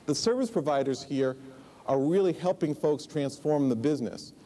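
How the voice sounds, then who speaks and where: A man's voice: fairly high pitch, animated, moderate pace, warm tone, slight background noise. A man in his forties giving a conference keynote on a large stage, explaining in a conversational way with asides and fillers, on a lapel mic. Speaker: keynote speaker.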